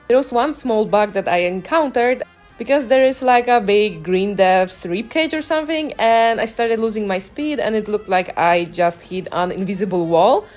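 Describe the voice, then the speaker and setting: Female gaming creator, medium pitch, conversational voice-over commentary, light music bed. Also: animated, moderate pace, a little mellow. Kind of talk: casual gameplay commentary voice-over. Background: music behind the voice.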